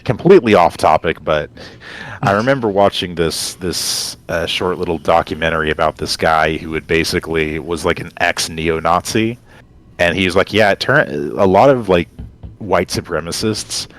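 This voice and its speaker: A man talking casually and reflectively as a co-host, rambling and halting as he works out his thoughts. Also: deep voice. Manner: halting, rambling, thinks aloud. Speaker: podcast co-host.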